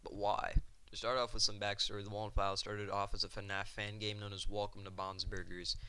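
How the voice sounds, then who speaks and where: A man delivers casual video commentary at a brisk clip. His voice is bright and crisp, and he keeps to a fairly even pitch. His phrasing is informal, with the odd stumble. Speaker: video essay host.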